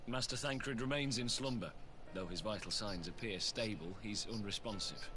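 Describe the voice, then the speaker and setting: Game healer: male actor as deferential attendant, sober formal delivery, on the low side. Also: normal speed, noisy background, close to an everyday voice. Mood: sober, apologetic. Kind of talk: video game voice acting.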